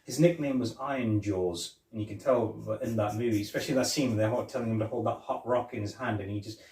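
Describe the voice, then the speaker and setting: A man's voice: on the low side, fast, darkish tone, distant mic. Rambling man in an echoey room. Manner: rambling, restarts and repeats words. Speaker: podcast co-host. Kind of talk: casual movie review chat.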